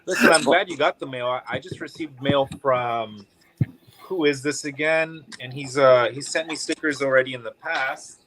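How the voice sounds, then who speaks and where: A man's voice: medium pitch, normal speed, a bit bright, rough recording. A man joining a livestream as a guest, chatty and upbeat, swearing casually.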